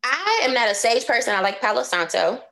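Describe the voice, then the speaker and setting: Woman just chatting as a podcast guest, animated, super informal, says like and you know a lot. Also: on the high side, a little fast. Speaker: guest, astrologer and card reader. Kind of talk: casual conversational chat.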